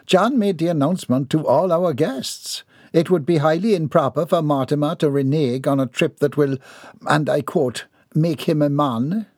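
A man's voice: a little high, a little mellow, no echo, clean studio sound, voice pushed up. A man putting on a prim, commanding lady. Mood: proper. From Jamaica. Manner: prim, formal, brisk. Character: proper, commanding mistress of a mansion.

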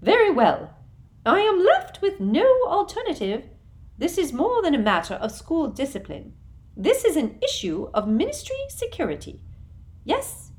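Woman putting on a high sugary sadistic witch official. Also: huge pitch swings, unhurried, exaggerated voice. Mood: coaxing. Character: simpering cruel middle-aged witch official, sadistic. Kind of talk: audiobook character reading.

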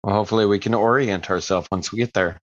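A man's voice: lowish voice, quick pace, dry recording, highs cut off. A man playing a tabletop roleplaying game, speaking casually in character.

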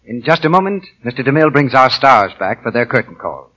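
A man's voice: mid-range voice, big pitch swings, fairly quick, pushed and bright, a little hiss or hum. A man announcing in a polished, salesmanlike 1940s radio advertising style. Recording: 1940 radio broadcast.

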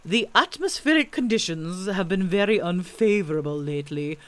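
A woman voicing a solemn, long-winded male owl in a storybook, with a pompous, deliberate manner. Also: on the low side, expressive, natural voice.

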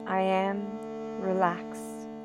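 A woman guiding a sleep meditation in a soothing, unhurried, gently instructive manner. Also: mid-range voice, monotone. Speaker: yoga nidra meditation guide. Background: soft sound healing instrumental music.

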